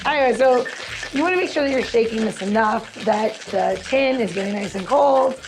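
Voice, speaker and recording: A woman's voice: on the high side, normal speed, creaky, over music, low-quality recording. Informal, playful woman podcast host. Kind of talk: casual chat with recipe walk-through.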